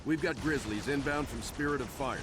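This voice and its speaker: An actor playing a stern, composed older starship captain in a video game, giving clipped, measured orders with authority. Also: male voice, medium pitch, noisy background, close to an everyday voice. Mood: commanding. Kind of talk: video game cutscene acting.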